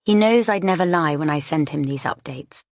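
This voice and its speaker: A female narrator reads a scripted first-person thriller audiobook. Her pitch is a little low for a woman. The sound is very dry, free of any room echo. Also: moderate pace.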